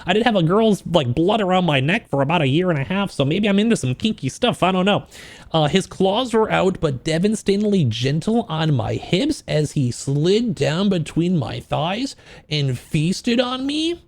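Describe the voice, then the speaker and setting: A man with a slightly high voice reads and talks to a livestream chat. He is informal and self-deprecating, with joking, crude asides. His reading is more measured, while his commentary is looser and quicker. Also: very animated. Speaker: streamer reading a novel aloud and reacting to it for chat. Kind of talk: casual livestream book reaction, mixing reading aloud with commentary.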